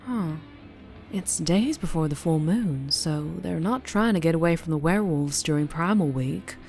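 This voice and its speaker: A woman with a Southern US accent playing a flirtatious, troubled, protective vampire in an intimate ASMR roleplay, speaking softly and haltingly. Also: deep voice, expressive. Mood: puzzled, probing. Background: music.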